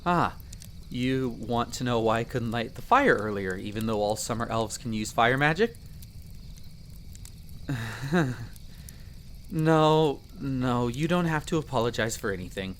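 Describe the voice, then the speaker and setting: A man's voice: mid-range voice, lively intonation, unhurried, long pauses, a bit bright, slight background noise. A man playing a friendly, chatty elf explorer in a roleplay audio drama, explaining things in an easygoing, conversational way to the listener. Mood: patient, explanatory. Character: friendly chatty male elf explorer, eager magic mentor.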